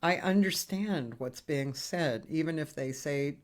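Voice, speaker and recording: A woman's voice: low-pitched, measured pace. A woman hosting a live online show, talking casually and rambling, with many restarts. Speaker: host. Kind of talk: casual chat.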